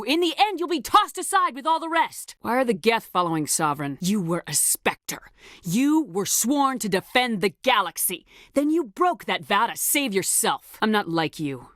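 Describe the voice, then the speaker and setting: A woman's voice: sits high, fairly bright tone, no room sound, clean studio sound. A woman playing a determined, commanding military officer in a video game, speaking in a firm, clipped, authoritative way. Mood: pleading, intense, then cold, defiant. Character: commanding female military officer, steely, determined space soldier. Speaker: voice actor. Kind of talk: video game dialogue acting.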